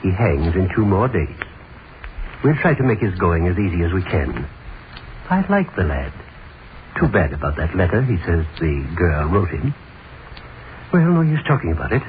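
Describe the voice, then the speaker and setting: An actor playing a friendly prison warden chatting matter-of-factly with a coworker in a 1930s radio play. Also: male voice, low-pitched, big pitch swings, moderate pace, pauses often. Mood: chatty. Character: friendly middle-aged male prison warden. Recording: tinny, hissy 1930s radio broadcast.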